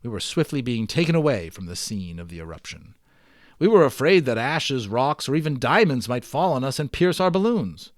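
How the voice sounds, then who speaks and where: Male storyteller, mid-pitched, expressive and enthusiastic, amateur read-aloud of a children's novel with chatty asides, dry recording. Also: normal speed, no echo. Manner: expressive, enthusiastic storyteller, emphasizes and draws out words. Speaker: amateur audiobook reader.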